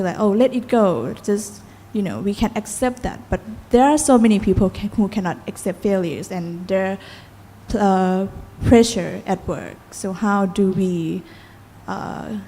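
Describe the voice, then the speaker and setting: A woman's voice: medium pitch, a little slow, warm tone. Hesitant woman asking a question, hall echo. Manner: hesitant, searching for words. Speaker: audience member asking a question. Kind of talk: question at a Dharma talk. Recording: reverberant hall with faint noise.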